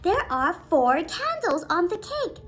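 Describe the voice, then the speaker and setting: Very high, animated woman telling children's stories. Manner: animated, exclaiming, coaxing questions with drawn-out words. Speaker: children's storytime teacher. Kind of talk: interactive storytelling for preschoolers. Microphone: phone or tablet video call, close to face. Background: music.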